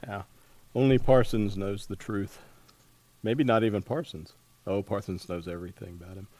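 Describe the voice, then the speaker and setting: A man's voice: on the low side, fairly even intonation, moderate pace, darkish tone, no echo. Man, dry-sounding podcast interviewer. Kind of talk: casual book interview.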